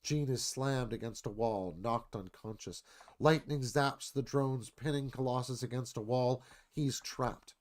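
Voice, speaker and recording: A man's voice: medium pitch, a little slow. Man narrating a radio theatre screenplay. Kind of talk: scripted narration, screenplay stage directions.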